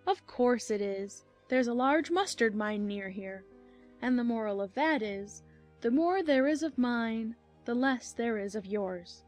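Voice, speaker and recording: A woman's voice: on the high side, expressive, a little slow, darkish tone, faint music behind. A woman as a gushing, moralizing storybook duchess. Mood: smugly moralizing. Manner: affected, gushing, sententious. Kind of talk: dramatized audiobook acting.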